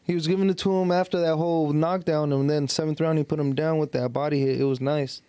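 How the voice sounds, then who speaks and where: A man's voice: on the high side, steady tone, quick pace, dark tone, clear, resonant tone, no echo. A young man talking casually about sports as a podcast host, using slang and frequent swearing. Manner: slangy, profane.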